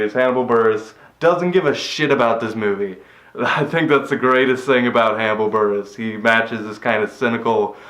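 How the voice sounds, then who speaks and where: Flat-voiced man in an echoey empty room. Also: medium pitch. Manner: rambling, cynical, swears casually. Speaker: movie reviewer. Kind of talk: casual spoken movie review. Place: empty room after a move.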